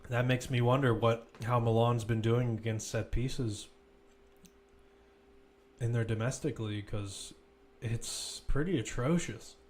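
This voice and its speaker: A man's voice: mid-range voice, fairly even intonation, a little slow, a little mellow, slight background noise. A man commentating live on a football match, mixing play-by-play calls with informal, conversational analysis.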